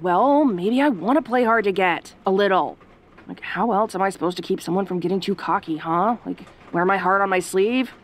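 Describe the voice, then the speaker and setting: A woman's voice: medium pitch, normal speed, close to an everyday voice. A woman playing a sassy tsundere tomboy daughter in an audio roleplay, talking in a casual, defensive, sarcastic way. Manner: casual, defensive, sarcastic, hesitant when sentimental.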